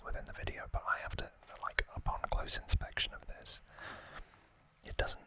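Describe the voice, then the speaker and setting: A man hosting an unscripted ASMR unboxing in a breathy whisper, speaking in short, halting phrases with pauses. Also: sharp tone. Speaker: ASMR video host.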